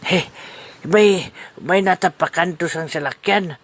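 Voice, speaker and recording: Man acting a husky, winded Filipino buddy. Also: sits high, unhurried. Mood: flustered, winded. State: out of breath. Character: halting, weary man called Pre Dumeng. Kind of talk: comic Filipino audio drama acting.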